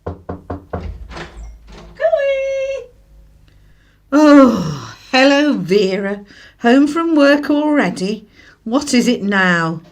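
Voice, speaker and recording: An actress performs a guarded, easily flustered mother in a radio play. She fusses and apologises. Her voice is fairly high and very animated in pitch. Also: takes it slowly, gravelly, full character voice. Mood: indignant. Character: flustered, guarded British working mother of young boy. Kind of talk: radio drama acting.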